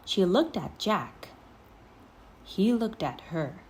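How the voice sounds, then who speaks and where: Very slow, animated woman storyteller. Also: mid-range voice, a little hiss or hum. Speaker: read-aloud storyteller. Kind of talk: scripted children's story narration.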